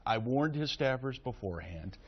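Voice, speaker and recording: A male TV news reporter with a booming voice, pressing his questions and recounting them in a live studio hookup. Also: lively intonation, moderate pace. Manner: insistent, repeatedly pressing a question. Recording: dull lo-fi broadcast audio.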